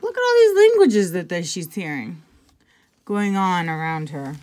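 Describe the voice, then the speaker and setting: A woman reading a picture book aloud to children, conversationally, pausing for casual asides and check-in questions. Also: fairly low pitch, very animated, darkish tone. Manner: conversational, pointing things out and asking check-in questions. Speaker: intervention specialist reading to children.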